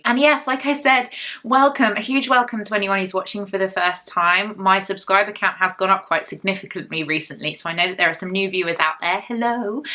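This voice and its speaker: A bubbly woman chats to her viewers as host of her own show, sounding a little croaky from a head cold. Her voice is bright and forceful, and she gushes with excitement about being back. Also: medium pitch. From England. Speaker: podcast host. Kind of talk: casual chat to viewers.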